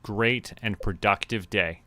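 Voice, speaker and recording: A man's voice: lowish voice, slow, bright, forceful tone, a little hiss or hum. A man giving casual livestream game commentary, musing to himself in short remarks. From Canada. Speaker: VTuber streamer. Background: background music.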